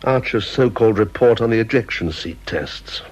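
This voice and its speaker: A low, husky, stern British boss. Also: male voice, lively intonation, moderate pace, a little mellow. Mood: curt, stern. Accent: British English. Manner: clipped, formal, terse. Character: stern, curt, exacting scientist boss under strain. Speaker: actor in a radio play. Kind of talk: British radio drama acting. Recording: dull, lo-fi old radio recording.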